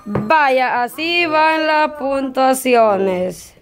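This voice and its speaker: A woman's voice: high-pitched, lively intonation, drawn-out words. A woman from El Salvador, hosting a casual group contest on a phone camera, bossy and laughing as she cheers people on. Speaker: vlog host running a contest. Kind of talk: lively casual group chat. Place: open-air concrete porch with metal gate. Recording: loud music and crowd behind.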